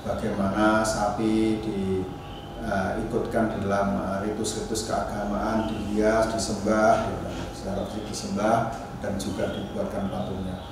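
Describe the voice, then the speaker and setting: A man giving an informal academic lecture as a university teacher, halting and restarting, often adding tag questions. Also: on the low side, flat delivery. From Indonesia. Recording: very echoey room, distant mic, faint noise.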